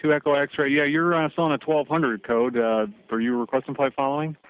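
A man's voice: a little high, fairly even intonation, fairly quick, no echo, narrow, tinny audio. A man as an air traffic controller, making slightly hesitant procedural queries over the radio.

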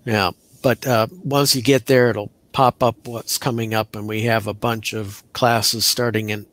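A man's voice: lowish voice, little pitch movement, measured pace, a bit bright, no echo. A middle-aged man, a county extension program manager, talking casually over a headset on a video call with dry, easygoing humor. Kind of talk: casual live-stream chat.

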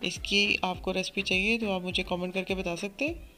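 A woman hosting a cooking channel, narrating a recipe step by step in a steady instructional voice. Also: mid-range voice, a little fast, soft background music. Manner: steady step-by-step instruction, addresses viewers as friends. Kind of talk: recipe voice-over.

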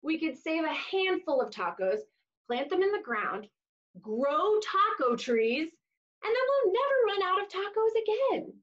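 A woman in her thirties reading a picture book aloud to young children, expressively, with exaggerated emphasis and drawn-out words. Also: extremely high pitch, very animated, highs cut off. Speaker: children's storytime reader. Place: small room indoors, seated on floor.

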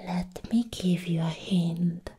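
Very slow, whispering woman in soothing ASMR roleplay. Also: fairly low pitch, flat delivery. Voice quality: breathy, mostly unvoiced whisper. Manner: gentle, playful, soothing, with long pauses. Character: sweet, friendly vampire girl giving a sleep treatment.

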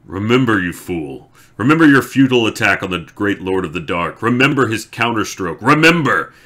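A man reads out a scornful villain who serves the dark, loud and declaiming, building toward shouts. The voice sits deep but with a forceful, bright edge, as if read from a fantasy storybook. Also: lively intonation, normal speed. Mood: taunting. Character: gloating male dark servant, menacing and scornful.

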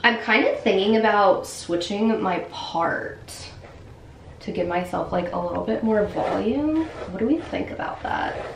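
A young woman chats to her camera at an unhurried pace, full of little ums and likes. She sits at a middle pitch, and the room around her rings with echo. A faint hiss stays underneath. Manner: chatty, relaxed, many fillers. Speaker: lifestyle vlogger. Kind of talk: casual vlog talk to camera. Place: home bathroom and kitchen, indoors. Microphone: camera mic at arm's length or on a stand. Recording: echoey room, faint background noise.

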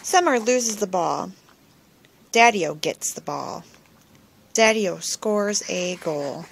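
A woman reads a picture book aloud for small children. Her delivery is unhurried and her intonation moves up and down in an animated way. It is a home phone recording with faint background noise. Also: mid-range voice, creaky. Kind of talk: children's storybook read-aloud. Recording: noisy home recording with clicks.

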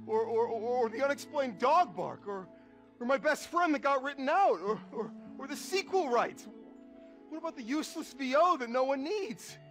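A man's voice: extremely high pitch, expressive, moderate pace, a bit bright, faint music behind. A man playing a naive, earnest, melodramatic young protégé in a satirical video game film. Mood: distraught. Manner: wide-eyed, overwrought, melodramatic.

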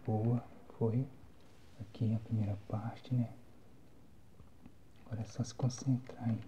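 A young Brazilian man giving casual, slangy ASMR gameplay commentary in a soft, breathy whisper. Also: medium pitch, little pitch movement, takes it slowly, highs cut off, slight background noise. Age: young adult. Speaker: gamer, ASMR channel host. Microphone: phone microphone, close.

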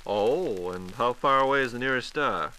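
A man's voice: mid-range voice, lively intonation, normal speed, pushed and bright, low-quality recording. An American man as an inquisitive, playful layman host in scripted 1940s radio dialogue. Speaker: radio host asking questions.

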